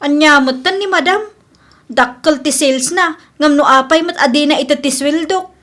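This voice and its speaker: Radio drama: woman plays wronged young female worker, very high register, complaining little-sister delivery. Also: moderate pace, fairly bright tone. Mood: aggrieved. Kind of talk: Ilocano radio comedy drama acting.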